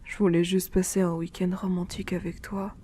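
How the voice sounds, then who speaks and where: A woman's voice: on the low side, flat delivery, brisk, warm tone, slight background noise, close to an everyday voice. Woman playing a grumbling sulky tsundere. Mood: grumpy. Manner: grumbling, half-whispered. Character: grumpy sulky young woman, tsundere partner. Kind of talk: ASMR roleplay. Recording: rain and storm ambience.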